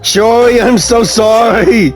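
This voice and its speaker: A woman's voice: a little high, steady tone, slow pace, darkish tone. A woman streaming a game and giving casual reactions as she plays. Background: game music.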